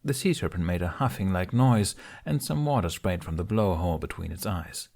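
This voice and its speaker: A man with a low-pitched, husky voice narrates an audiobook. His delivery is scripted, dramatic fantasy storytelling. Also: fairly quick, darkish tone.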